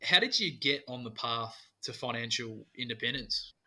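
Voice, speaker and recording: An Australian man hosts a podcast over earphones, his voice a little nasal and blocked from a cold. His tone is very bright and cutting. He reads a prepared intro, then turns chatty and light with his guest. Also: mid-range voice, big pitch swings, normal speed. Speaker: podcast host. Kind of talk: podcast interview.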